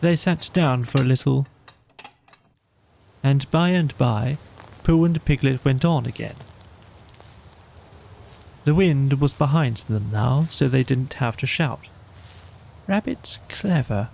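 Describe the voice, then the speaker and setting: A man narrating a children's bedtime story from a script in a measured, gentle way. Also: medium pitch, gravelly. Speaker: audiobook narrator.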